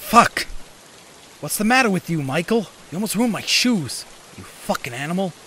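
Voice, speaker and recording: Loud rain noise surrounds a high, swooping voice. An indignant, foul-mouthed gangster acted by a man scolds irritably in a comic dub. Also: very animated, normal speed. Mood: outraged. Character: irritable, foul-mouthed mobster, vain and snarling.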